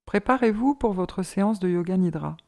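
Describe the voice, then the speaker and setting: A woman leading a guided yoga relaxation as an instructor, in a calm, measured, soothing manner. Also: medium pitch.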